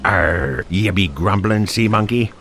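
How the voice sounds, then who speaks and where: A pompous pirate captain bulldog with a deep, gravelly voice. The delivery swings theatrically up and down in old seafaring talk, with music playing underneath. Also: male voice, expressive, a little slow, a bit bright, slight background noise. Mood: commanding. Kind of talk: audio drama pirate acting.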